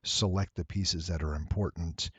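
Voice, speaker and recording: A man giving a casual, unscripted software tutorial in a deep, husky voice, with wry, playful asides. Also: steady tone, normal speed, dry recording.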